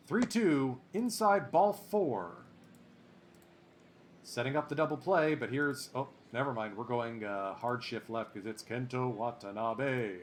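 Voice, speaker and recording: A man doing play-by-play commentary over a baseball sim game, excitable and chatty, drifting into asides. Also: mid-range voice, unhurried, a little hiss or hum. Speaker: let's play host.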